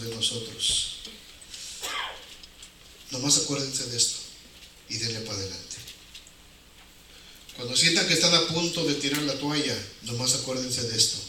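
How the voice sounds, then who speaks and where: Male preacher, Mexican accent, colloquial and emphatic with repetition; distant, heavily reverberant sound. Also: mid-range voice. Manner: colloquial, rhetorical questions, repeats phrases for emphasis. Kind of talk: informal evangelical sermon. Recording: very echoey hall, distant, noisy.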